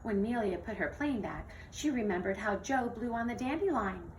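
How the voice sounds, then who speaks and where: Animated woman reading a children's story. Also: medium pitch, moderate pace. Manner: expressive, theatrical storytelling. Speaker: teacher reading aloud. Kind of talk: animated children's picture-book read-aloud. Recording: distant mic with faint noise.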